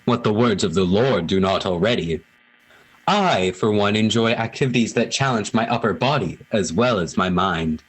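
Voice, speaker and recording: Man playing a husky, kindly old priest. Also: lowish voice, low-quality recording. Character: kindly, hearty old small-town priest. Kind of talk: amateur radio play acting.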